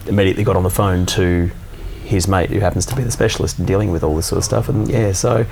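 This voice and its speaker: Low male voice, casual chatty co-host, halting and relaxed, faint hiss behind. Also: gravelly, poor audio. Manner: relaxed, halting, recounts at length with fillers. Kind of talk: casual chat.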